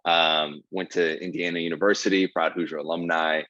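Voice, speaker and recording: A young man from Ohio with a very low voice talks earnestly about his path, padding his sentences with fillers. The sound is dry and a little dull, like a close podcast mic with the top end rolled off. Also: little pitch movement, moderate pace, pushed and bright, dry recording. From Ohio, USA. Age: 20s. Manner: earnest storytelling with many fillers. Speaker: guest comedian. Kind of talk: casual podcast interview.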